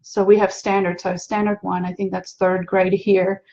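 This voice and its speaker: A woman from South Africa with a South African accent, a native Afrikaans speaker, giving a reflective, unhurried casual talk as host. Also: mid-range voice, level tone, darkish tone, highs cut off, a little hiss or hum. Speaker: hypnotherapist host.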